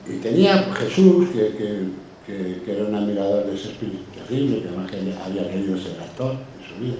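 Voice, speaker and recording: A man's voice: on the low side, animated, a little fast, dark tone. Guy from spain telling stories casually, effusive and sweary, super echoey, bit far from the mic. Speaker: guest. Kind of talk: casual anecdotal chat. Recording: very echoey room, distant mic, faint noise.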